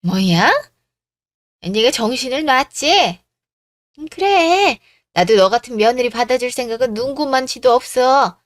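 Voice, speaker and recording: A woman's voice: on the high side, animated, a little slow, dry recording. A woman voicing a rude, blustering middle-aged mother-in-law in a radio drama story. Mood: outraged, scornful. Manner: blustering, scolding, outraged.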